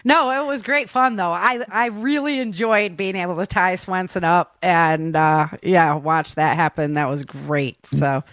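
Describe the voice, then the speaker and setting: A woman hosting a show in casual conversation, with an animated, informal and giggly manner. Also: on the low side, measured pace, hardly any pauses, no echo, studio quality. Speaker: podcast host and self-defense instructor. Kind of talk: casual chat.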